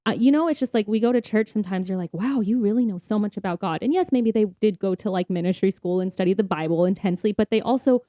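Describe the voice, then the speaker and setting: A woman's voice: medium pitch, quick pace, hardly any pauses, warm tone, no room sound, studio quality. A woman co-hosting a podcast, talking casually and earnestly about faith in an informal, explanatory way. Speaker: podcast co-host. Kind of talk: casual faith-focused conversation.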